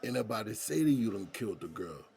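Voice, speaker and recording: An American man playing a streetwise, seasoned inmate in an audio drama, with a husky voice and folksy, slangy talk. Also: lowish voice, big pitch swings, quick pace, mellow, full character voice. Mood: emphatic, urging. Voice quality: husky, gravelly. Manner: folksy street slang, probing rhetorical questions. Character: streetwise, seasoned male prison inmate mentoring a younger friend.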